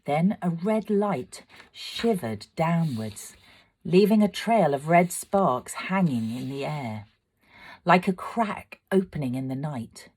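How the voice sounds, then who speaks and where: Low-voiced woman reading a storybook aloud. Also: takes it slowly, husky. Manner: expressive, dramatic, swelling for excitement and hushing for suspense. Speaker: amateur storyteller. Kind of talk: storybook narration, read aloud.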